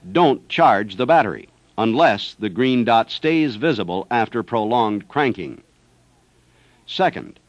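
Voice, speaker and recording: A man's voice: mid-range voice, no echo. An American man reads a scripted instructional narration for a service training film in a methodical manner. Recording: dull, dry 1970s training film soundtrack.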